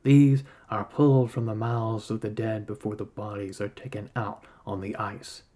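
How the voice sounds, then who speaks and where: A man reading a literary story aloud as a narrator, in a measured, solemn storytelling manner. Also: fairly low pitch, flat delivery, dark tone. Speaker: podcast host reading a short story aloud.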